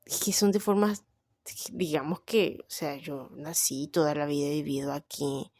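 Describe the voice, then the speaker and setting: A woman's voice: sits low, fairly even intonation, normal speed, a little mellow, dry recording, studio quality. A young woman with a Colombian Caribbean coast accent, chatting casually about herself and thinking aloud with frequent fillers. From Barranquilla, Colombia. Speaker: vlogger. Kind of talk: casual personal chat.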